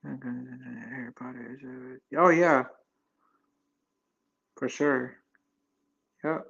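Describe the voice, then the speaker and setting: A man's voice: medium pitch, slow, vocal fry, a bit bright, husky, muffled recording. A man in his twenties chatting casually with his live viewers, rambling loosely with lots of fillers and restarts. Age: 20s. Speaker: livestream host chatting with viewers.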